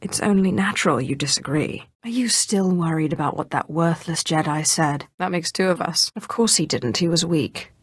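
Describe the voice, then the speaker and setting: A woman playing a proud, calculating Sith sorceress in a video game, speaking in a cool, measured, sardonic way. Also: sits low, breathy edge, no room sound, clean studio sound. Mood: firm, resolute. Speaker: video game player character. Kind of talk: scripted video game dialogue acting.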